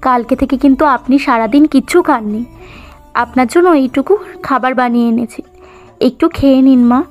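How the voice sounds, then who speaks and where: A woman's voice: high voice, moderate pace, darkish tone, natural voice. A woman playing a dutiful, principled young woman, a servant's daughter, speaking earnestly and respectfully in a cartoon. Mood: tender, concerned. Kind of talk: cartoon moral-story voice acting. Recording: muffled lo-fi audio with music bed.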